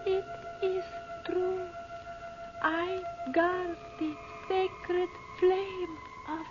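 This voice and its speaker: An actress playing an ancient Egyptian princess who guards a sacred flame, halting and solemn in old radio drama style. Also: female voice, very high voice, flat delivery, exaggerated voice. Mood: solemn trance. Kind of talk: old-time radio serial acting. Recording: dull old radio recording, loud music.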